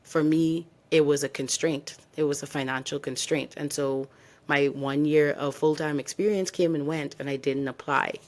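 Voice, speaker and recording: A woman's voice: deep voice, fairly even intonation, normal speed. A young adult woman from the Bahamas, co-hosting a podcast and candidly telling her own story and giving advice. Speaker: podcast co-host. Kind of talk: casual podcast chat.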